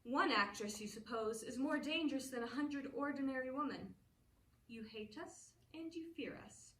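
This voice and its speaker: A wry, self-assured stage actress , played by a woman with a somewhat high voice, teasing and poised. Her lines carry a roomy echo and sound caught from some distance off, with a faint hiss behind. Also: moderate pace. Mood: amused. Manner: poised, wry, gently mocking. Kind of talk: stage play acting.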